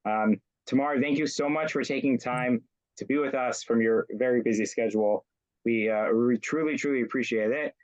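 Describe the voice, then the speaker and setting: A man hosting an online community interview, speaking with many pauses and fillers. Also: medium pitch, highs cut off. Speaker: rabbi, host. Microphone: video call.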